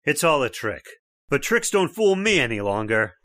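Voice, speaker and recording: An actor playing a skeptical, headstrong father who talks bluntly and forcefully in an old-time radio horror play. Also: medium pitch, big pitch swings, moderate pace. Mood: scoffing.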